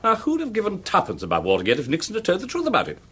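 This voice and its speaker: Swooping, urbane English college principal. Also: male voice, high-pitched, huge pitch swings, very fast, a bit bright, husky, full character voice. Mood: suave, pontificating. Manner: suave, donnish, rhetorical. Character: urbane, self-assured English college principal, a knighted gentleman. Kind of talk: radio drama acting.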